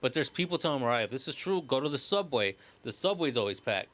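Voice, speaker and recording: A man with a mid-pitched voice talks as a podcast co-host. His delivery is casual and excitable, like someone telling friends a story, with plenty of slang and swearing. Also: fast talker. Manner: excitable storytelling, slangy, profane, frequent "bro" and "like".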